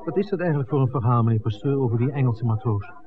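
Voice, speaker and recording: An actor playing an inquisitive, persistent male filmmaker in a 1960s radio drama, asking questions in a halting but pressing way. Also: medium pitch, lightly acted. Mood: curious. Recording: tinny old radio recording, background chatter.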